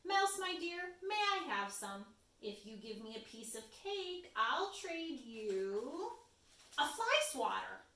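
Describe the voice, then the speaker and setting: A woman's voice: high voice, big pitch swings, slow, pushed and bright, full character voice. A woman voicing a folksy, polite cow who exclaims in a read-aloud storybook. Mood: eager. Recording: roomy echo, distant microphone.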